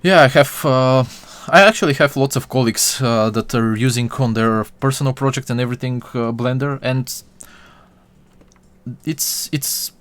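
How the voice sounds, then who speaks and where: A man's voice: medium pitch, moderate pace, a bit bright, clear, resonant tone. A young man from Bulgaria chatting with his live-stream viewers while he models, full of fillers and restarts.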